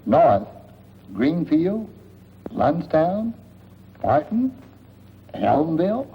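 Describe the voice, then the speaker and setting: An actor playing a grumbling, nosy, haggling lodger in a 1940s radio drama, folksy and slangy. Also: male voice, on the high side, very animated, extremely slow, full character voice. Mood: prying. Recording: muffled, echoing old radio recording, faint noise.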